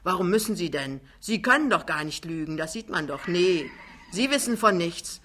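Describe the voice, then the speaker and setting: Blustering big-man hustler played by a female reader: jovial, chummy, colloquial, fast-talking audiobook character. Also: on the low side, lively intonation, a bit bright, a little hiss or hum. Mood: breezy, boastful. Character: big, boisterous, fast-talking male wheeler-dealer, jovial. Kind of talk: dramatized literary reading.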